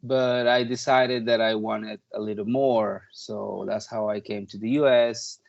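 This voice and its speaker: A man's voice: on the low side, steady tone, unhurried, vocal fry, highs cut off. A man in his thirties from Peru, a native Spanish speaker, chatting casually and talkatively as an interview guest. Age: 30s. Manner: chatty, peppered with "like" and "you know". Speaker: interview guest, touring drummer.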